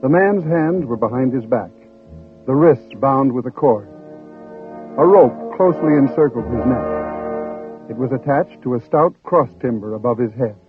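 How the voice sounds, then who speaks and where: Male radio host-narrator: deliberate, grave, mid-pitched, slightly rough, faint music behind. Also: expressive. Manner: measured, solemn, deliberate phrasing. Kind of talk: old-time radio dramatic scripted narration. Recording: muffled old radio broadcast, faint music.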